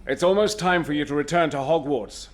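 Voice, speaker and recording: A man's voice: on the high side, a little fast, lightly acted. An actor playing a middle-aged, world-weary but caring godfather in a video game, with a British accent. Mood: fond. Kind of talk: video game cutscene acting.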